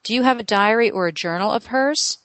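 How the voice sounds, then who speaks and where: A woman's voice: a little high, a bit bright, no echo. A woman working as a psychic medium, thinking aloud with lots of fillers and firing off questions to a client during a reading. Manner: asks many quick questions in a row. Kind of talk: live reading session with a client.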